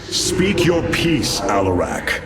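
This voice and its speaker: Alien commander for game cutscene: resolute, earnest, formal, medium register with expressive rise and fall. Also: male voice, medium pitch, slow. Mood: stern. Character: noble, resolute male alien leader and commander. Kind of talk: video game cutscene acting. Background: loud music.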